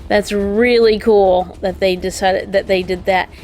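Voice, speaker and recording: A woman's voice: mid-range voice, steady tone, measured pace, darkish tone. A woman co-hosting a casual unboxing show, chatty and enthusiastic as she points out details. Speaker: co-host of a toy unboxing show. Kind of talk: casual product show-and-tell. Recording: faint background noise.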